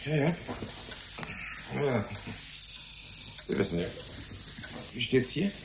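An actor playing a courteous, troubled, weary gentleman scientist in a German radio drama, hesitant and absent-minded. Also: mid-range voice, big pitch swings, slow pace, a little hiss or hum, rough recording. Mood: preoccupied.

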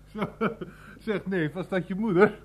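A man's voice: high-pitched, unhurried, darkish tone. A man playing a blunt, irreverent older uncle in a naturalistic radio play, grumbling and swearing as he rambles. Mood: dismissive, grumbling.

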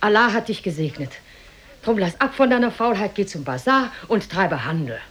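A woman's voice: medium pitch, big pitch swings, normal speed, fairly bright tone, husky. A woman playing a devout mother who urges her grown son on, emphatically, in a 1950s radio play. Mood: urging. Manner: emphatic, exhorting.

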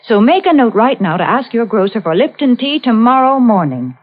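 A chirpy woman announcer from old-time radio advertising. Her fairly high voice moves with lots of melody. The sound is thin and band-limited. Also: very animated, brisk. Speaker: commercial announcer, co-host. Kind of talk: scripted 1940s radio advertising. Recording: tinny old radio recording.

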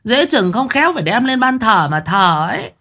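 A man voicing a sharp-tongued, sulky older village wife who whines, scolds and wails. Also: very high voice, very animated, unhurried, vocal fry, pitched above the performer's own voice, full character voice. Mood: sneering. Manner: whining, scolding, wailing.

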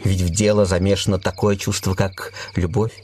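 An actor playing a cool, scheming prime minister with a measured, suave and commanding manner. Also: male voice, low-pitched, level tone, a little mellow, a little hiss or hum, lightly acted. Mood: smoothly scheming. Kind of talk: Russian stage-comedy radio acting.

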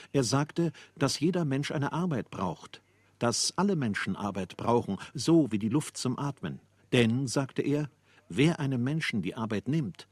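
An actor playing an earnest, proud factory worker who narrates in a literary radio play, with a husky voice. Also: male voice, mid-range voice, a little mellow, dry recording.

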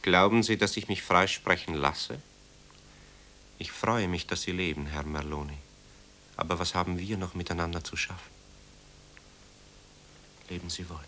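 An actor playing a tormented, brooding composer with solemn, bitter declamation in a German radio drama. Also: male voice, deep voice, moderate pace, long pauses, pushed and bright. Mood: bitter, resigned. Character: tormented, brooding composer son of an old lady. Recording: hissy 1960s radio play recording.

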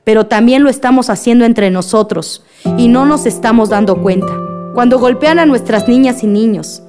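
An actress playing an earnest, grieving village woman who makes measured appeals for peace in a radio drama. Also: on the high side, mellow, natural voice. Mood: earnest, sorrowful. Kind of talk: radio drama acting, assembly speech. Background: music.

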